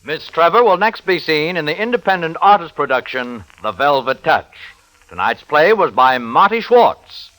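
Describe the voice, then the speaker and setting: A man's voice: on the high side, sing-song, normal speed, a bit bright, no echo, rough recording. An American man announcing in a formal, polished 1940s radio style, selling for a sponsor on a vintage recording. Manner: formal, polished sales delivery.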